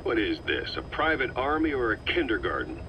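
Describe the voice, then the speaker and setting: An actor playing a calm, calculating middle-aged military officer in a video game, speaking with measured, persuasive assurance. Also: male voice, medium pitch, noisy background. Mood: firm, challenging. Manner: measured, assured, reasoning patiently. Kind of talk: dramatic game voice acting.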